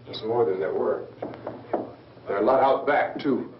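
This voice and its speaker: An actor playing a resolute, defiant, practical American survivor in an old horror film, speaking bluntly and challengingly. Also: male voice, mid-range voice, moderate pace, very noisy, natural voice. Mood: grim. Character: resolute, defiant, practical survivor standing his ground against the undead. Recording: echoey, muffled old film soundtrack, distant.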